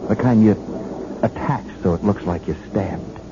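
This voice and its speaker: An actor playing a shrewd, folksy detective who needles suspects in a deliberate, triumphant way, in 1970s radio drama style. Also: male voice, on the low side, lightly acted. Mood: smug, needling. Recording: muffled, hissy 1970s radio recording.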